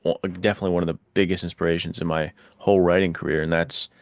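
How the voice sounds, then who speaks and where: A man with a fairly low voice, recorded dry without room sound. He hosts a casual book chat and talks with informal, enthusiastic slang. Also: level tone, racing, dry recording. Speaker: podcast host, writer.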